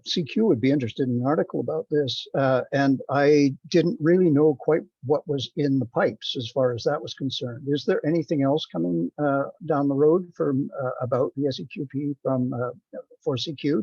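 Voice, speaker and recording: Husky, hesitant man on a dull line. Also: on the high side, normal speed, dark tone, no echo. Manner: hesitant, rambling. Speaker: meeting participant giving a report. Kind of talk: conference call update. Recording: dull, dry teleconference audio.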